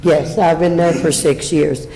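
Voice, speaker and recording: A woman's voice: deep voice, fairly even intonation, measured pace, dark tone, slight background noise. A woman in her seventies with a strong, carrying voice, speaking off the cuff as a resident at a public meeting. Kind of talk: impromptu public speech to a city council. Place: large indoor council chamber. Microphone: standing back from podium microphone. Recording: echoey public meeting hall.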